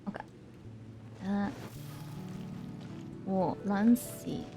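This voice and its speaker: A woman's voice: mid-range voice, drawn-out words. A woman livestreaming a video game, giving casual, murmured reactions in short fragments. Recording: game music behind the voice. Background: video game music.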